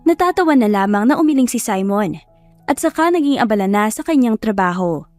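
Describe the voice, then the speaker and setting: High-pitched woman narrating a romance. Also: expressive, fairly quick, no echo. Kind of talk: scripted romance story narration. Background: soft music.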